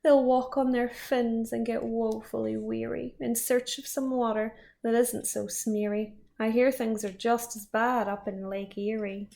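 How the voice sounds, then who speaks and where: A woman voicing a grumpy little male forest creature who scolds in a croaky, coughing voice. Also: a little high, steady tone, measured pace, hardly any pauses. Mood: angry. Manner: loud and scolding.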